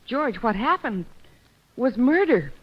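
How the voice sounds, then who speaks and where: Expressive, sharp-witted detective's girl companion. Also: a little high, slow pace. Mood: concerned. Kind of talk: old-time radio drama acting. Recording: muffled old radio recording, music behind.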